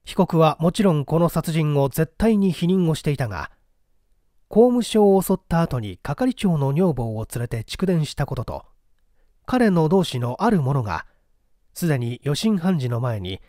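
Male mystery narrator: mellow, animated pitch movement, deliberate theatrical literary delivery. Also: no echo, clean studio sound. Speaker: story reader.

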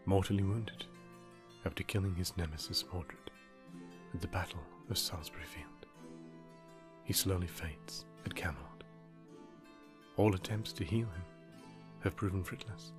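A man giving a solemn, hushed storytelling narration in a grave, drawn-out ASMR manner. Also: low voice. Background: plucked string music.

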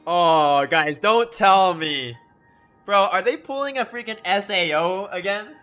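A man's voice: high-pitched, measured pace, sharp tone. A man gushing in slangy, casual livestream commentary, full of bro and dude, as he reacts to a game. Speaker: live streamer reacting to game cutscenes. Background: game music behind the voice.

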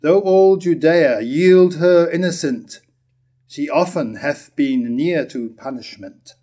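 An older man in his seventies playing a bitter, reproachful wronged husband in a staged verse tragedy, measured and declamatory. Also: fairly high pitch, warm tone. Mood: grave, mournful. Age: 70s. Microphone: stand microphone at lectern.

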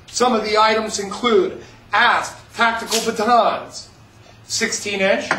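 A man with a rather high voice does a folksy sales pitch for an upcoming auction, calling his listeners folks as he goes. He sits somewhat off the mic. The room around him rings and sounds roomy. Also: pushed and bright, recorded from a distance.

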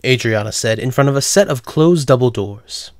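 A man's voice: medium pitch, expressive, moderate pace. A man narrating a scripted audio drama as its storyteller.

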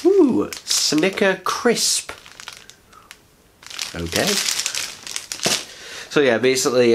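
A man's voice: medium pitch, fairly bright tone, a little hiss or hum. Nasal young man with a cold. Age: young adult. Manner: chatty, rambling, self-mocking asides. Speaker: vlogger. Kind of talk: casual unboxing chat. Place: living room at night, indoors. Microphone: camera on a tripod, sitting close.

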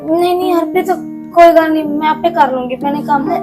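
A woman's voice: high-pitched, fast talker, over music, close to an everyday voice. An actress playing a mistreated young woman who speaks meekly and pleadingly. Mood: sorrowful. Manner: pleading, meek, tearful tone. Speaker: daughter. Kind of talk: short-film drama acting.

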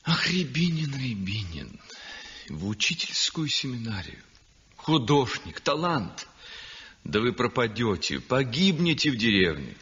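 An actor playing an excitable male acquaintance who reacts with theatrical dismay in a 1960s radio drama, with a husky voice. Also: medium pitch, measured pace, long reverb tail, heavily acted. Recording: dull old radio recording, set back from the mic.

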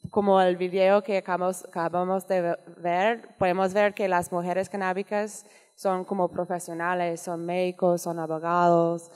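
A woman with a Canadian English accent speaks slow, halting Spanish at a panel table, pausing to find her words. Her voice sits a bit low. The sound carries the long reverb of a large conference hall. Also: level tone, a little mellow. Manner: halting non-native Spanish, searching for words. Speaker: guest speaker. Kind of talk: panel talk. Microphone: table microphone.

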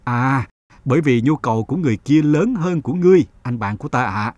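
A man playing a stern, condescending gentleman who questions people before giving alms in a cartoon morality tale. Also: medium pitch, animated, brisk, no echo, muffled recording, lightly acted. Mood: stern, probing.

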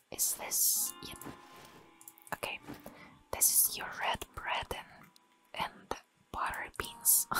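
A woman whispering playful, giggly commentary as she plays a barista game, in the manner of ASMR. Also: low-quality recording. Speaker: gamer playing a barista game.

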